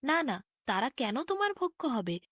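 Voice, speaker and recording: Protective eldest prince for a fairy tale, read by a woman: firm, questioning, higher-pitched, dry recording. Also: very animated, moderate pace, fairly bright tone, no echo, exaggerated voice. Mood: firm, confronting.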